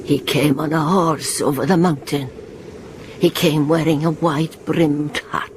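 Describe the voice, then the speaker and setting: An old Welsh woman of the old magics in a radio drama. She tells her tale slowly and incantatorily. Clear noise surrounds the voice. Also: deep voice. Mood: ominous. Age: older. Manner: slow, incantatory. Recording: noisy ambience behind the voice.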